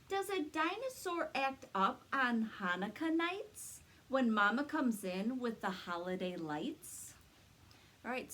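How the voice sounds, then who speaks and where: A woman's voice: mid-range voice, a bit bright. A woman leading a read-aloud story time for young children, sing-song and encouraging, explaining things simply.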